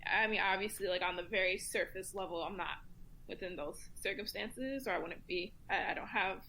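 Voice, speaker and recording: A woman's voice: mid-range voice, normal speed, creaky, sharp tone, a little hiss or hum. A woman speaking as a podcast guest, reflective and casual, thinking aloud as she talks. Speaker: podcast guest, community commentator. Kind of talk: casual round-table discussion, thinking aloud.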